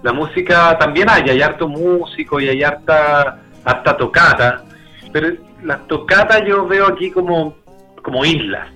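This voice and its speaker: A Chilean man with a forward, bright voice that sits a little high speaks as a guest in a casual interview. He is colloquial and candid, pausing to reflect as he goes. Faint music plays behind him. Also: rough recording. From Santiago, Chile.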